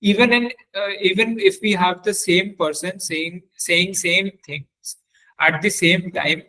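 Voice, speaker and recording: A man presenting a technical webinar as a trainer, explaining haltingly and often repeating and correcting himself. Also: sits high, pushed and bright, rough recording. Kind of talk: technical lecture with screen demonstration.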